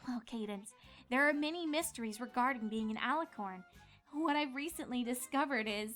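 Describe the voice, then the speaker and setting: A woman's voice: on the high side, moderate pace, a bit bright, soft background music, natural voice. A woman voicing a composed, slyly teasing young alicorn pony princess in a cartoon fan-fiction story. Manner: sly, teasing. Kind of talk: dramatized fan fiction reading.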